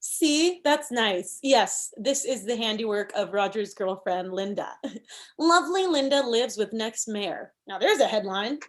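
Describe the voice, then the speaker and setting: A woman's voice: a little high, expressive, a little slow. A woman playing a pushy, combative thirty-year-old campaign manager in a comedy table read, arguing emphatically. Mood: brisk scheming. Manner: argumentative, emphatic.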